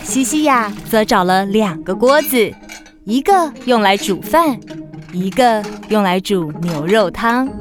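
A woman's voice: medium pitch, expressive, unhurried. A woman narrator reading a scripted children's story. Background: music.

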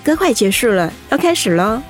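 A woman's voice: fairly high pitch, big pitch swings, brisk, over music. An actress playing an attentive, encouraging female radio host in an audio drama. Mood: presenting. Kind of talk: radio drama acting as presenter.

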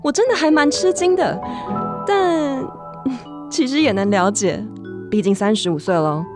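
A woman in her thirties acting as the wry narrator of a radio drama, telling of a friend in measured phrases with dramatic pauses. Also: sits high, lively intonation, music in the background. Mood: wry, reflective.

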